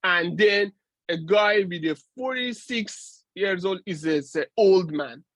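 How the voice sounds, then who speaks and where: A man's voice: sits high, fairly bright tone, dry recording. A man in his forties giving a university guest lecture, explaining ideas step by step with frequent restarts and fillers. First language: Persian. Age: 40s. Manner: halting, with many restarts and fillers.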